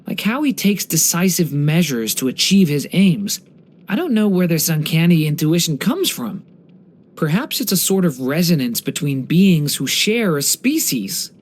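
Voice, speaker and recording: An actor voicing a gentle, earnest young dragon boy in a video game, speaking with soft-hearted wonder and care. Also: medium pitch, sing-song, moderate pace. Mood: admiring, musing. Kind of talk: scripted game character voice lines.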